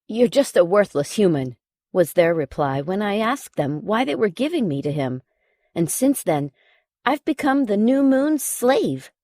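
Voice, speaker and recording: Expressive woman narrating an audiobook. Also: mid-range voice, measured pace, no echo, studio quality. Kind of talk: scripted audiobook narration, first-person storytelling.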